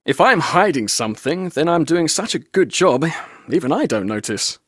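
A man's voice: fairly high pitch, sing-song, normal speed, a bit bright, no echo, studio quality. An actor playing a chatty, roguish male tour guide in a video game, with a glib, jaunty, theatrical way of telling things. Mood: wry, joking. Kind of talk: video game character dialogue.

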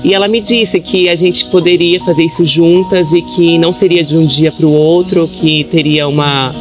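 A woman in her twenties giving a soft, reflective personal testimony outdoors by a lake, pausing often. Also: low-pitched. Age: 20s. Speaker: interviewee. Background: background music.

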